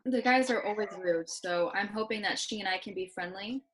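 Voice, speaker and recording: A woman acting out a young, self-assured romantic partner who likes to tease. The voice has a middle pitch and sounds like a drama table read. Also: a little fast, lightly acted, low-quality recording. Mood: teasing, dry. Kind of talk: script read-through acting.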